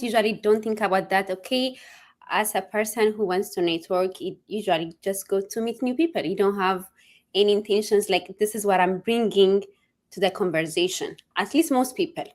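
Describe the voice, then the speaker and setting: A woman hosting an interview, asking questions in a friendly, eager way with loose phrasing. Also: medium pitch. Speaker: host. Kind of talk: conversational interview.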